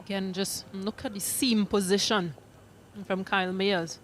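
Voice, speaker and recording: A woman giving brisk, matter-of-fact live cricket commentary and reading out the score. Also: medium pitch, steady tone, slight background noise. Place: cricket ground commentary position.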